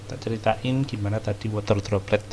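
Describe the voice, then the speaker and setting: Low-voiced Indonesian woman streamer. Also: steady tone, quick pace, heavy background noise. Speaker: gaming streamer. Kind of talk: casual gameplay commentary. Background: game music.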